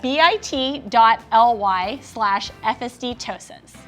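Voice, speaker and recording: A woman's voice: a little high, takes it slowly, a bit bright, soft background music. A woman presenting in an upbeat, scripted style.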